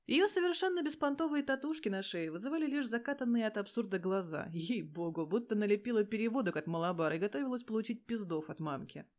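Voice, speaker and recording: A woman narrates fan fiction in a mid-pitched voice. Her reading is expressive and scripted, with a sardonic, streetwise edge and plenty of crude slang. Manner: sardonic, crude slangy storytelling with frequent swearing.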